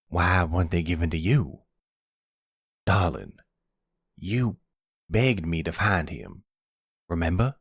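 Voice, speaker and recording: A man voices an older father and mob boss in an intimate audio roleplay. The voice is very low and slow, earnest and gentle with a regretful, reassuring air. It sounds close and dry. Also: no room sound. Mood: concerned, earnest. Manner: measured, fatherly, earnest, soft-spoken. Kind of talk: intimate ASMR roleplay acting.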